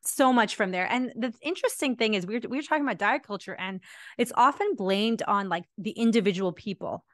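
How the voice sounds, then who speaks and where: A woman's voice: on the high side, fairly quick, dry recording. Woman host, dry close microphone. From India, moved to Canada at ten. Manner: conversational, affirming, trailing off softly. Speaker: podcast host, physiotherapist. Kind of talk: casual interview chat. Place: home room, indoors. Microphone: large studio microphone in front.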